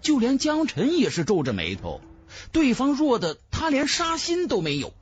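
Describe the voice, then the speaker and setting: A man's voice: extremely high pitch, huge pitch swings, moderate pace, husky. A man narrating a fantasy novel as a dramatic scripted audiobook. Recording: music bed under the voice.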